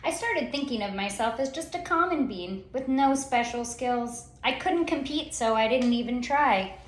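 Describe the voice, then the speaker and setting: A high-voiced woman reads a picture book aloud in a roomy, echoey space. Her delivery is theatrical and very lively in pitch, with dramatic pauses and stretched-out words for young listeners. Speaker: children's read-aloud storyteller. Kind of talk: expressive picture-book storytelling.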